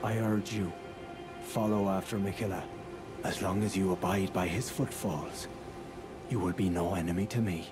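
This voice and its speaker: Man playing a stern devout warrior, very low pitch, grave formal delivery, fantasy game, music behind. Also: fairly even intonation, moderate pace, close to an everyday voice. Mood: stern warning.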